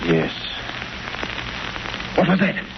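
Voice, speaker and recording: An actor playing an anxious, gloomy criminal accomplice, uneasy in manner, in a 1930s radio drama. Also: male voice, extremely slow. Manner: nervous, uneasy. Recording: noisy muffled old radio recording.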